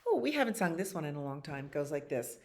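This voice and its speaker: A woman reading picture books aloud as a children's library storyteller, expressively, with casual asides about the pictures. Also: low-pitched. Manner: expressive reading with acted animal sounds and pauses to comment on pictures. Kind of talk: read-aloud bedtime stories with casual asides.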